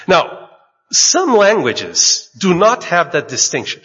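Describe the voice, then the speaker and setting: Male preacher, lively pitch, breathy voice, long hall echo, dull sound. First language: Portuguese. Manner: measured, speaks in short phrases and pauses for the interpreter. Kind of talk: sermon with consecutive interpretation. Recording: dull recording in a large echoing hall.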